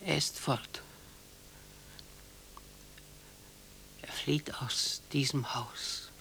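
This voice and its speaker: A woman's voice: very deep voice, steady tone, slow, vocal fry, fairly bright tone, whispered, heavily acted, poor audio. Proud, theatrical old lady. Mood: desolate, yearning. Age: older. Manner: theatrical, imperious, emotional delivery. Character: proud old lady, possessive mother shut in her house. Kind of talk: 1960s German radio drama acting. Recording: hissy 1960s radio play recording.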